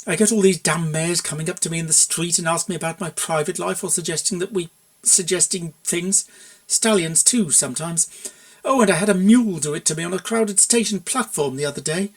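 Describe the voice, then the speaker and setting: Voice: medium pitch, brisk, natural voice. A performer doing an exasperated, blunt pony mare. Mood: bitter, embarrassed. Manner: hesitant muttering or indignant outbursts. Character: exasperated, blunt young mare with a short temper.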